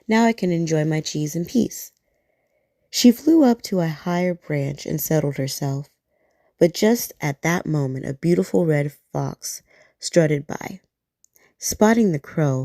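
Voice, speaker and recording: Low, gravelly bedtime storyteller woman. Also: a little slow, no echo, studio quality. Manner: soft, gentle and soothing.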